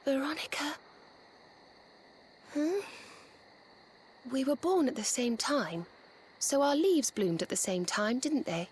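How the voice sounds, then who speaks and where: An actress voicing a gentle, wistful young woman in a video game, with a soft, dreamy, hesitant manner. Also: high voice, lively intonation, slow pace, long pauses, slight background noise.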